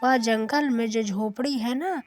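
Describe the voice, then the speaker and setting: A woman's voice: on the high side, a little slow, soft background music, lightly acted. A woman voicing an obsequious, cowardly young manservant in a cartoon story. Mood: conspiratorial.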